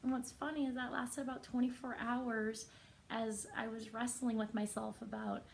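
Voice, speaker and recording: A woman with a somewhat high but soft and raspy voice talks straight to camera in a personal vlog. She is earnest and reflective, pausing often. A faint hum of ambience sits behind her. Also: level tone. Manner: earnest, reflective, unhurried with pauses. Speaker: vlogger.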